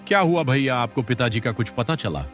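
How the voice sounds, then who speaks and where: A man's voice: mid-range voice, lively intonation, a little fast, faint music behind, natural voice. A man playing a lazy, compliant younger son in a cartoon moral story. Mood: concerned.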